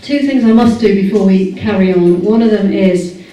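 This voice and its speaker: A woman chairs a public meeting, pausing often with fillers and putting questions to the room. Her voice is mellow and dark. She is picked up from across a large, echoey hall. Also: mid-range voice. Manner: hesitant, many fillers, asks the room questions. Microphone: far camera microphone. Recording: echoey hall recorded from far off.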